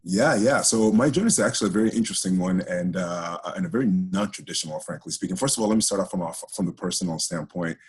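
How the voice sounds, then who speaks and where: A man's voice: low voice, quick pace, hardly any pauses, slightly rough. A middle-aged man who grew up in Ghana and Greece, talking chattily as a guest with many restarts. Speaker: guest, HR director. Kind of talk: casual interview talk.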